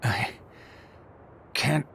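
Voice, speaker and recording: A man's voice: extremely slow, a bit bright, a little hiss or hum. An actor playing a grave, wounded middle-aged chairman in a video game, weakly labouring to get his words out. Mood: weak, fading. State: weak, labouring to speak. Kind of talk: English video game voice acting.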